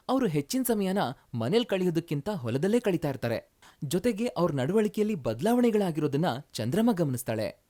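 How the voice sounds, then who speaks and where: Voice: mid-range voice, dark tone. A storyteller reading scripted narration for a moral tale.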